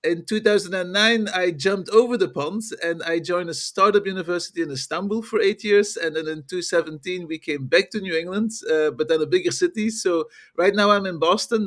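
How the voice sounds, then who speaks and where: This is a middle-aged man from Belgium, speaking in a notably high voice as he answers questions. He talks in a lively way and chuckles between his points. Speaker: marketing professor, guest. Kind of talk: interview answers.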